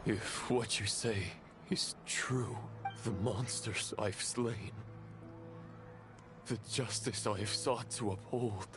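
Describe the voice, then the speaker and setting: Slow, solemn chivalrous knight. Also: male voice, fairly low pitch, fairly even intonation, music in the background. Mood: anguished resolve. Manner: grandiose, archaic, declamatory. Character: solemn, anguished chivalrous male knight swearing oaths. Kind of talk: dramatic video game voice acting.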